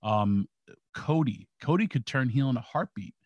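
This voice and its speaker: A man co-hosting a wrestling talk show with a monotone voice, stammering restarts as he argues his point. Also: medium pitch, moderate pace, dry recording, highs cut off. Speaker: co-host. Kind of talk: casual sports talk.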